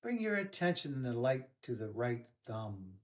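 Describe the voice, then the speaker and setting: A man leading a guided yoga nidra relaxation, giving soothing, unhurried instructions with pauses. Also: medium pitch, sing-song.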